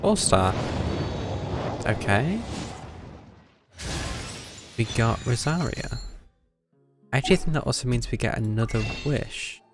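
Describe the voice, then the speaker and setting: A man's voice: mid-range voice, expressive, slow, pauses often. A man giving casual live gameplay commentary, muttering drily to himself as he plays. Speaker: game streamer. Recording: game music behind the voice. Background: video game music.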